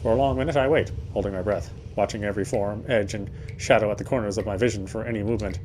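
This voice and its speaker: A man's voice: on the low side, fairly even intonation, fast, a little mellow, slight background noise. A man reading first-person game narration aloud in a dramatic storytelling style, now and then stumbling over words.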